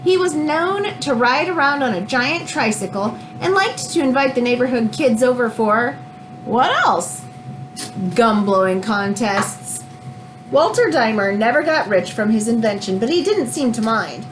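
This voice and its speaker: A woman reads a children's book aloud in an expressive, teacherly way, adding explanatory asides as she goes. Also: on the high side, measured pace, slight background noise, poor audio.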